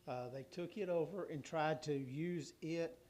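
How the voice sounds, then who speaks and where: A hoarse man giving a city council utility report, rambling and halting with many restarts and fillers. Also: on the high side, a little mellow, a little hiss or hum.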